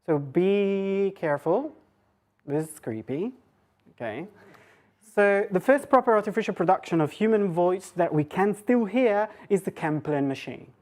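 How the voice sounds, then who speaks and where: A woman's voice: medium pitch, lively intonation, normal speed, darkish tone. A woman lecturer giving an informal academic talk in a conversational way, often breaking into her own sentences with asides. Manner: conversational, self-interrupting asides.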